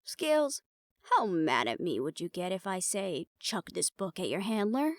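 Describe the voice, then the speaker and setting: A woman's voice: lowish voice, sing-song, moderate pace, no room sound. A woman playing an uptight, weary researcher in a fantasy audio drama, with a dry, exasperated way of talking. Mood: irritated. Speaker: voice actor in an audio roleplay. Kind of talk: fantasy audio drama roleplay, conversational acting.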